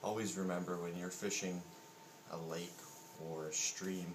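A man's voice: sits low, flat delivery, measured pace. A man casually narrating a fishing vlog outdoors from a kayak, muttering as if to himself in a laid-back way. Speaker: fishing show host. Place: outdoors on a kayak on open water. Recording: noisy outdoor camcorder audio with ambient noise.

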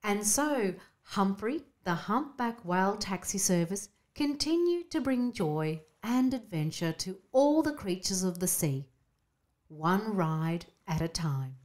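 A woman narrating a scripted children's bedtime story in an expressive storybook manner. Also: medium pitch, slow pace.

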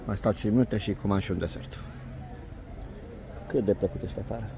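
A man doing a casual food review vlog in short, unhurried, appreciative phrases, recorded outdoors on a handheld phone. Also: fairly low pitch, steady tone, noisy background. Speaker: food vlogger. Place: outdoor restaurant terrace by a lake.

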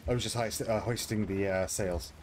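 Easygoing low-pitched man gaming. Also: fairly even intonation, moderate pace, a little mellow, slight background noise. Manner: easygoing, agreeable. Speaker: streamer playing a pirate game. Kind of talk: casual gaming chat. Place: small indoor room, streaming setup.

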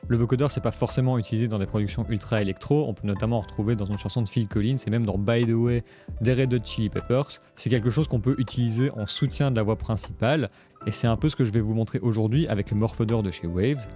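A man's voice: fairly low pitch, fast, mellow. A man presenting a music production tutorial in a casual, step-by-step explanatory way. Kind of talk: casual screencast tutorial narration.

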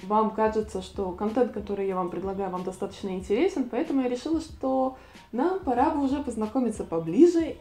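Woman, 20s, vlogger on lapel mic, warm mellow voice, casual and wry. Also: mid-range voice, reverberant. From Latvia. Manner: chatty, self-ironic, with pauses and restarts. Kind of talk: casual talking-head vlog. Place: living room with bookshelf, indoors.

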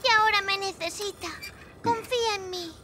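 Niña pequeña de voz muy aguda. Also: female voice, very high-pitched, moderate pace, very noisy. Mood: urgent, pleading. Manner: inquisitive, pleading, earnest. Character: eager, earnest little girl from the mountains. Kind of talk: animated series dubbing. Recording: loud music behind.

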